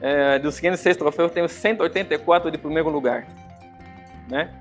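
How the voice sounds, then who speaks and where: A man from Angola giving a conference talk, with an Angolan accent touched by Brazilian Portuguese, speaking hesitantly with many fillers and pauses. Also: fairly high pitch, soft background music. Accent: Angolan Portuguese with some Brazilian. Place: conference venue.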